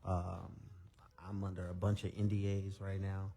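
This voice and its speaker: Man, radio interview guest, dark tone, gravelly, relaxed storytelling. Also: low-pitched. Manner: relaxed, candid, drawn-out storytelling. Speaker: guest singer. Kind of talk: casual interview chat.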